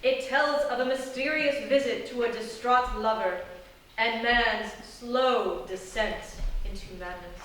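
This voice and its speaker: Highish lady host doing mock serious lit critic narration, theatrical, echoey stage, far from mic. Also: measured pace. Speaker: host of literary parody segment. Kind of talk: scripted mock-scholarly narration. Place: small theatre stage before an audience. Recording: very echoey live theatre, distant, faint noise.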